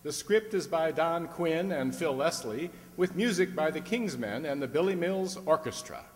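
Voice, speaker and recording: Higher-voiced radio announcer man in a hall. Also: expressive, moderate pace. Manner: polished, presentational. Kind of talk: old-time radio announcing, scripted sales pitch. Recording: echoey hall, long reverb.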